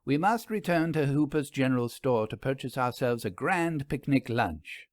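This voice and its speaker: A man's voice: mid-range voice, animated, moderate pace, no room sound, natural voice. Storybook lady sleuth, read by a man: bossy, wry, scheming, recorded close and dry. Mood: decisive. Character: bold, wry woman ghost investigator, bossy and scheming. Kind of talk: audiobook character dialogue.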